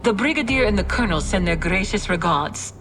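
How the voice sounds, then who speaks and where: An actress playing a loyal, tactful military aide in a video game, formal and encouraging. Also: female voice, mid-range voice, expressive, brisk, music in the background, close to an everyday voice. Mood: pleased, approving. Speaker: military adviser. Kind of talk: video game cutscene acting.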